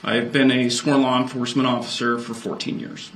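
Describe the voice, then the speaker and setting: An American man in his thirties giving precise, measured, formal testimony as a police officer. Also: medium pitch, level tone, a bit bright, poor audio. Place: indoor courtroom witness stand. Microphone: stand microphone before him. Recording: courtroom microphone with faint noise.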